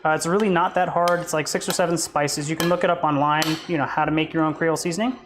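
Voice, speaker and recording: A man's voice: fairly high pitch, fast, noisy background. A man hosting a live cooking stream from his home kitchen, chatting casually and explaining each step as he cooks. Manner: relaxed, chatty, explains as he goes. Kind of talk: casual chat while cooking. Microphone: desk mic near the stove.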